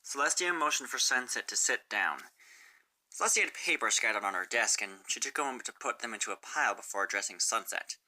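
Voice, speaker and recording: A man's voice: mid-range voice, animated, quick pace, pushed and bright, poor audio. A man reading a sad story aloud as a scripted narration, in a dramatic way with the odd stumble. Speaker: fan fiction narrator.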